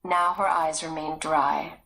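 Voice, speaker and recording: A woman's voice: low-pitched, fairly even intonation, takes it slowly, gravelly, pushed and bright, rough recording. A woman reading a story aloud as a scripted audiobook narrator.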